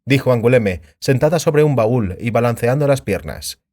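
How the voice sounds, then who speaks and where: A man's voice: medium pitch, expressive, normal speed, a little mellow. A man narrating fantasy fiction as a dramatic, scripted audiobook. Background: background music.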